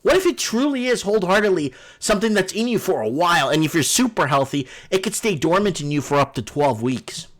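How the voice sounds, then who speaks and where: A man's voice: high voice, sing-song, a little fast, few pauses. A man hosting a casual live call-in stream, rambling and repeating himself as he addresses his viewers. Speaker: livestream host.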